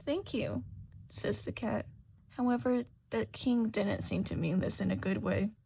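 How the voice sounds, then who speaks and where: A woman's voice: medium pitch, normal speed, creaky, warm tone. A woman reading a scripted story aloud as an amateur audiobook narrator. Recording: faint background noise.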